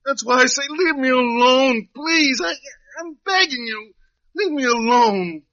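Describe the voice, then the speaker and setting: High-voiced despairing jailed drifter, old radio. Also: male voice, animated, a little slow, bright, forceful tone, no echo, full character voice. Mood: anguished, pleading. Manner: uneducated folksy speech, pleading and resigned. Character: despairing wrongly jailed young drifter, pleading, plain-spoken. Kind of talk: 1950s radio drama acting. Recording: dull lo-fi old radio recording.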